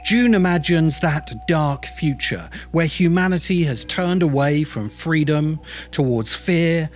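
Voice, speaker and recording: A man narrating a scripted video essay in a measured, emphatic way, as its host. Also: a little high. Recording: music behind the voice. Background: background music.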